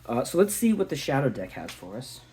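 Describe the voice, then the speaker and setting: A man's voice: mid-range voice, very animated, brisk, dark tone, husky. A man giving a casual, reflective tarot reading, thinking aloud with a slight sore throat. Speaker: tarot reader.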